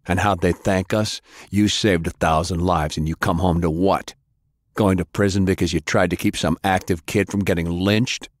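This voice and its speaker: Man voicing a very low, brutal, boastful brawler. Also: steady tone, moderate pace, no echo, studio quality, natural voice. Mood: bitter. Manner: taunting, sneering, slangy tough-guy talk. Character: brutal, boastful, bitter brawler with magic power, male.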